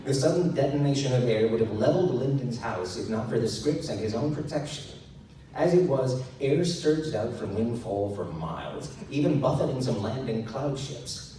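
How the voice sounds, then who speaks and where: A man's voice: mid-range voice, lively intonation, normal speed, mellow. A man narrating fiction live to an audience in a dramatic storytelling style. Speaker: audiobook narrator reading to an audience. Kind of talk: dramatic live fiction reading with character voices. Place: live meetup room with audience. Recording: echoey noisy room, recorded from far off. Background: audience laughter and room noise.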